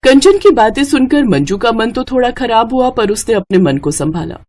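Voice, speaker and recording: A woman's voice: a little high, lively intonation, normal speed, darkish tone, a little hiss or hum. Woman narrating a scripted story.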